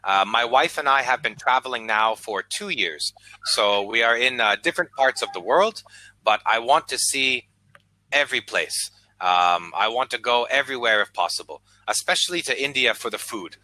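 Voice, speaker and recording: An American man, an online English tutor, chatting patiently on a video call and speaking slowly and clearly. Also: mid-range voice, expressive, sharp tone, dry recording, rough recording. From United States. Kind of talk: casual conversation lesson.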